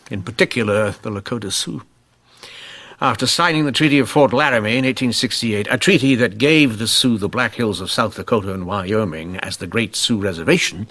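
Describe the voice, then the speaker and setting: A man's voice: on the low side, fairly bright tone. A man playing a shrewd, principled police inspector in an old-time radio mystery, speaking in a formal, sardonic way. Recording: noisy old radio drama recording.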